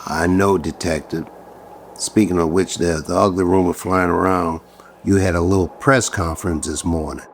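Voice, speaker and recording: Gravelly, sardonic police lieutenant. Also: male voice, deep voice, steady tone, moderate pace, a little hiss or hum. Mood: dry, weary authority. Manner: dry, teasing, bossy. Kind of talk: audio drama dialogue.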